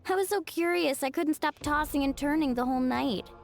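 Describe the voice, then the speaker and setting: A woman voicing a bubbly, curious, playful young woman in a video game, chatty and expressive. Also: high-pitched, brisk, gravelly, faint music behind. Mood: curious, teasing.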